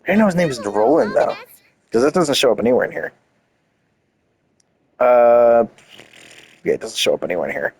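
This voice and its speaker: A man's voice: mid-range voice, very animated, moderate pace, pauses often, darkish tone, slightly rough. A man reading game lore aloud and commenting casually and enthusiastically, with some casual swearing. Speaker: gaming content creator.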